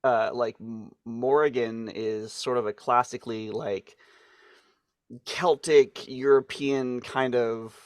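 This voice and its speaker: A man's voice: medium pitch, steady tone, slow, no echo, clean studio sound. A man co-hosting a podcast in casual chat, stumbling over his words with many fillers and restarts and laughing often. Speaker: podcast co-host.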